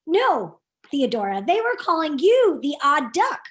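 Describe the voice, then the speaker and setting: A woman's voice: sits high, very animated, unhurried. A woman voicing an odd, frank male duck from a storybook, talking in an earnest, insistent way. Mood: insistent, exasperated.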